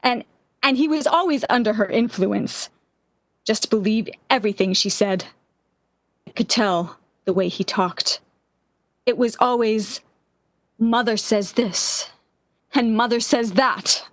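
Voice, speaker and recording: A woman acts out an obsessive, lovesick young woman from a 1940s radio play. Her voice is fairly high and slow, full of melodramatic yearning, and recorded close and dry. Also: no room sound. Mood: bitter, resentful. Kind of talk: 1940s radio drama acting.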